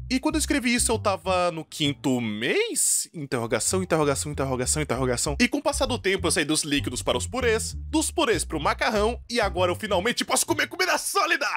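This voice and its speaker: A man with a Brazilian accent telling a comedic first-person story as a voice-over in an animated, conversational style. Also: high-pitched.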